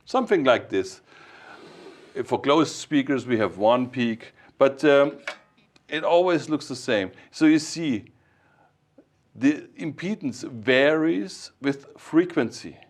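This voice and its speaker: A man with a mid-pitched voice speaks as a technical expert explaining things informally. His phrasing is halting, built up step by step in short pieces. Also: lively intonation.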